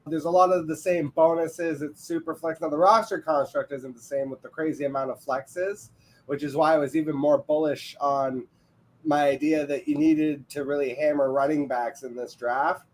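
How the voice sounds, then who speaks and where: Chill quebec guy on a podcast, kinda high voice, jokey, makes fun of himself. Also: fairly even intonation, moderate pace, rough recording. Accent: Canadian French-English mix, Quebec. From Canada. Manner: laid-back, joking, self-deprecating. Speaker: guest analyst. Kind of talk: casual podcast chat.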